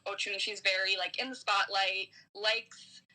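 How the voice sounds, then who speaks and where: A woman with a strikingly bright, sharp-edged voice at a middling pitch. She talks casually as a guest giving spiritual guidance, loosely phrased and sprinkled with swear words. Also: little pitch movement, a little slow, low-quality recording. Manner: informal, swearing, frequent "like". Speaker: podcast guest giving a tarot reading. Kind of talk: casual conversational spiritual advice.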